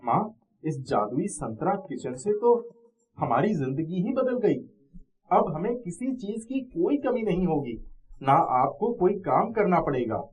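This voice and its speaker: A man's voice: high-pitched, sing-song, measured pace, a little mellow, poor audio. A man voicing an affectionate young son in a cartoon moral story, earnest and childlike. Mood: delighted.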